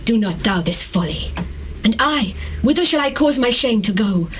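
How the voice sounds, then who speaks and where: A woman's voice: on the high side, lively intonation, normal speed, close to an everyday voice. A woman playing a young princess in a Bible drama, pleading with anguished dignity in archaic English. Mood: desperate pleading. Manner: earnest theatrical pleading in archaic biblical English. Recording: faintly noisy old cassette tape.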